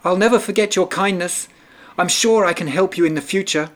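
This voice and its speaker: A man voicing a timid, polite little male mouse that pleads quickly and eagerly. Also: high-pitched, fairly bright tone, voice pushed up. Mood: eager pleading.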